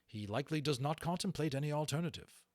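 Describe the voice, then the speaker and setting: Man playing a formal dutiful captain, pitched up. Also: expressive, a little mellow, no room sound, clean studio sound, natural voice. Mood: grave, gentle. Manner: formal, firm, measured. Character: stern, dutiful, courteous male dragon-riding captain.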